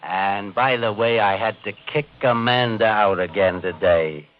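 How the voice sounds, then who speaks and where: A man's voice: lowish voice, natural voice. An actor playing a coolly taunting painter who toys with his enemy, in a 1950 radio drama. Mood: cool, mocking. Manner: slow, mocking, coolly controlled. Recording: muffled vintage radio broadcast.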